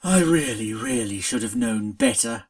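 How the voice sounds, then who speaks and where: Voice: mid-range voice, animated, a little slow, darkish tone, voice pushed down. A narrator voicing a weary, exasperated spirit pony. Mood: rueful. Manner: exasperated, pleading. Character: weary, put-upon spirit pony of oblivion.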